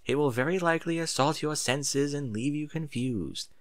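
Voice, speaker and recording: A man voicing a kindly, wise pony princess in a storybook, gentle, measured and reassuring. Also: a little high, no room sound, clean studio sound, natural voice.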